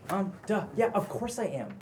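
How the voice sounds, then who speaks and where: A man playing a worried, sarcastic young best friend with casual, halting slang in a script read. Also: sits high, very animated. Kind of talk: table read of a pilot script. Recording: faint background noise.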